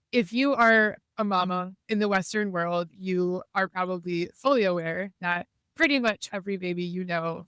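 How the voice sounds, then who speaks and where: A woman's voice: fairly low pitch, fairly bright tone, smooth, no room sound. A woman with a slightly congested voice hosting a podcast, explaining things earnestly and encouragingly as she talks while driving in a car. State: congested from a cold. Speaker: podcast host. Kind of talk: casual podcast monologue.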